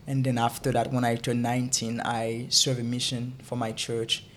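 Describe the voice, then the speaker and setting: A young man from Haiti, a guest in a casual interview, who talks haltingly and often repeats words while he looks for them. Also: medium pitch, little pitch movement, a bit bright, a little hiss or hum.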